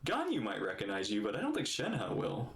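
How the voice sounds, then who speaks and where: A man giving excitable, playful, casual reaction commentary as a game streamer. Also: fairly low pitch, a little fast, background noise. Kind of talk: casual gameplay reaction commentary, reading lines aloud. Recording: roomy echo. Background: game music.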